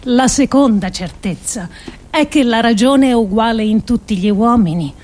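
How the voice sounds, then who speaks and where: A woman giving a dramatic scripted radio reading of an essay, solemn and deliberate, with emphatic pauses. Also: fairly high pitch, a little mellow. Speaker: performer reading an essay monologue.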